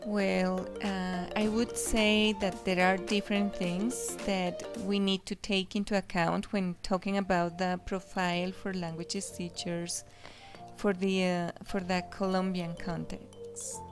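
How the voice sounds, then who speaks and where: A woman, an English teacher, answering an interview question in a measured, formal and carefully phrased way. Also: lowish voice, flat delivery, music in the background.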